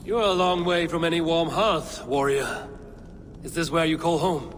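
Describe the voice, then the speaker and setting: An actor playing a steady, dry-witted Viking raider leader in a video game. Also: male voice, fairly high pitch, moderate pace, noisy background, close to an everyday voice. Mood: measured. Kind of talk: video game dialogue acting.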